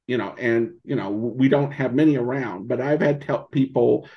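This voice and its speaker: An American man answering as a podcast guest, conversational and halting as he thinks aloud, on dull lo-fi audio. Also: mid-range voice. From United States, rural Montana in early childhood. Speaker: podcast guest, old-time radio podcaster.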